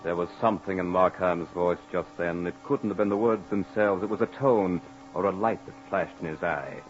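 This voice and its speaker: A man's voice: deep voice, moderate pace, soft background music. A man narrating a 1940s radio horror tale in a grave, dramatic, literary storytelling manner. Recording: muffled noisy old radio recording.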